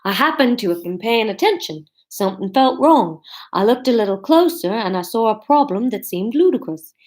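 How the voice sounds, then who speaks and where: A woman's voice: a little high, lively intonation, moderate pace, darkish tone, natural voice. A woman voicing an earnest, questioning male deity in a story, slightly dim-sounding, with a made-up, vaguely Canadian accent. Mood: earnest, grave. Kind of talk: audiobook-style character dialogue.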